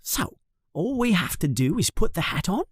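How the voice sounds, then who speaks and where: A man's voice: high-pitched, big pitch swings, moderate pace, breathy edge, dry recording, studio quality, voice pushed up, exaggerated voice. A man voicing a nervous young schoolboy who grumbles and frets. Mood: fretful. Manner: fretful, complaining. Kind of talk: storybook reading.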